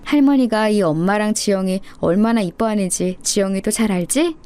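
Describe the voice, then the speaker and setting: A woman voicing a gentle, patient mother who explains things to her child as a fairy tale. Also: mid-range voice, expressive, normal speed, clear, resonant tone, close to an everyday voice. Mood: tender. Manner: tender, explanatory storytelling to a child.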